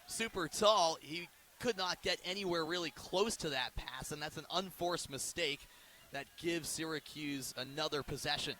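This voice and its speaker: A man's voice: high voice, bright, forceful tone. A man doing live radio play-by-play, describing the action in detail and lifting his intensity for big plays. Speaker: play-by-play sports announcer. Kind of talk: live radio sports commentary. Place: outdoors at a ballpark or sports field. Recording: live outdoor broadcast with faint background noise.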